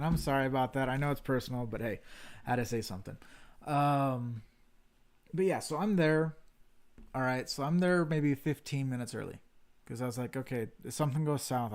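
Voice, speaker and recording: A man's voice: medium pitch, lively intonation, a little fast, darkish tone. A man co-hosting a casual livestream chat, telling a long story in a rambling, self-deprecating way. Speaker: stream co-host. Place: home livestream setup.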